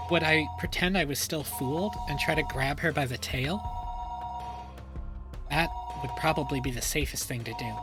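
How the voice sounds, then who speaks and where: A man streaming a dramatic first-person reading of a story, pausing and repeating phrases for suspense. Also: on the high side. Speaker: streamer reading a visual novel aloud. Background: music.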